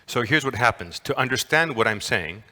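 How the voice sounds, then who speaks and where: A man's voice: medium pitch, lively intonation, normal speed, bright, forceful tone. A man giving a playful, interactive lecture to an audience, often asking them questions. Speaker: conference lecturer. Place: large lecture hall. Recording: long hall reverb.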